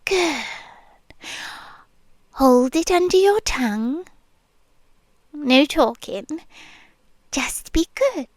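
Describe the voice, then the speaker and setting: A woman's voice: sits high, lively intonation, no room sound, heavily acted. Woman playing a whispery possessive nurse. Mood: sweetly possessive. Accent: British. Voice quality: soft, breathy whispering. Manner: slow, teasing, sweetly controlling. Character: obsessive possessive young female nurse. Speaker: ASMR roleplay performer. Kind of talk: F4M ASMR roleplay. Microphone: close mic, speaking into the ear.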